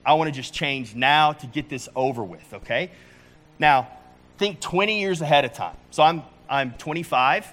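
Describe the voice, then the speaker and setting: A man's voice: a little high, normal speed, fairly bright tone. A man in his forties preaching a sermon in a casual, conversational way, often restarting his sentences. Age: 40s. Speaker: pastor.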